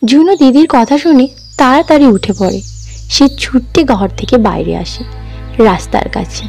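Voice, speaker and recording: A woman's voice: on the high side, big pitch swings, normal speed, vocal fry, darkish tone, faint music behind. A woman narrating a scripted children's story as the narrator.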